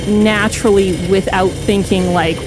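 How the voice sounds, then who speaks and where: A woman's voice: mid-range voice, steady tone, a little slow, music in the background, low-quality recording. A woman playing a tabletop roleplaying game, casually improvising and describing what her character does.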